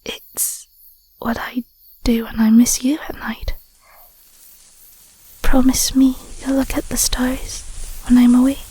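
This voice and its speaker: A woman playing a tender, sentimental young Scottish werewolf girlfriend in an intimate audio roleplay, speaking softly and breathily with a gentle, affectionate manner. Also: sits high, little pitch movement, slow pace, pauses often, heavily acted, rough recording. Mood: bittersweet, tender. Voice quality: soft, breathy, whispery. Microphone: close mic, dry.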